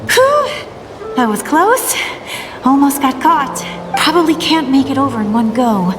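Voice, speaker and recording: A woman's voice: high-pitched, lively intonation, measured pace. A woman playing a spirited, stubborn young tribeswoman scout in a video game, with an insistent, plucky manner. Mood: tense, focused. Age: young adult. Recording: music under the voice.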